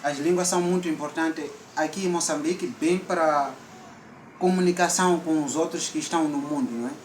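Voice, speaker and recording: A man's voice: a little high, a little fast, echoey room, recorded from a distance, a little hiss or hum. A man from Mozambique with a central Mozambican accent, hosting a casual vlog chat and switching between English and Portuguese with many fillers. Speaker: vlog host.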